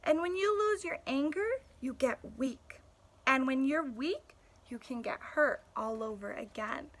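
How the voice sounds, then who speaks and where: Lady doing a cynical street dog telling his storybook tale, high bright voice, blunt and sardonic. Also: lively intonation, unhurried, exaggerated voice. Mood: defiant. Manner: sardonic, punchy, conversational. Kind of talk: storybook first-person narration.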